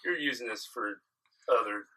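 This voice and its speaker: A man co-hosting a casual podcast chat, teasing and animated. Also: mid-range voice, normal speed, crowd noise.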